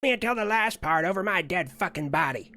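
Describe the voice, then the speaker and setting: A performer doing a brash, combative personified nation in a comedy skit. The voice is bright and forceful at a middling pitch, blunt, scornful and foul-mouthed. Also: expressive, brisk. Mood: indignant.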